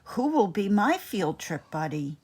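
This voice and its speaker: A woman's voice: mid-range voice, sing-song, unhurried, a little mellow, slightly rough, exaggerated voice. A woman voicing an eager, anxious little boy mouse, a classroom pet in a storybook, with a wishful, plaintive manner. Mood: worried.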